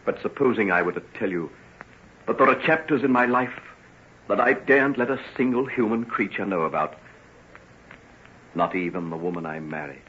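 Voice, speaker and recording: Man acting a low-voiced, troubled gentleman doctor, old radio. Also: animated, moderate pace, long pauses, close to an everyday voice. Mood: anguished, remorseful. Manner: earnest, theatrical, formal phrasing. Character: troubled, remorseful gentleman doctor proposing marriage. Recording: muffled, noisy old radio recording.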